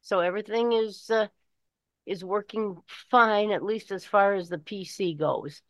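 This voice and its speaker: An older woman with a Midwestern American accent, chatting casually and rambling in a halting way. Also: mid-range voice, dry recording. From Michigan, United States. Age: 60s or older. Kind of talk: casual chat. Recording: dull lo-fi call audio.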